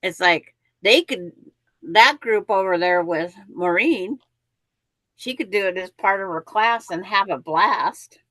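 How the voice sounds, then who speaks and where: A woman's voice: mid-range voice, lively intonation, takes it slowly, sharp tone, dry recording, poor audio. Chatty woman hosting a quilting show. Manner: rambling, chatty, explains quilt block steps. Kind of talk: casual live chat and craft instruction.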